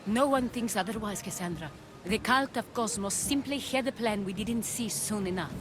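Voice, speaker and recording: A woman's voice: medium pitch, moderate pace, background noise, lightly acted. Poised ancient noblewoman voiced by an actress. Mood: solemn, reassuring. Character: composed, shrewd noblewoman of ancient Athens. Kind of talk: dramatic video game acting. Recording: dramatic music behind the voice.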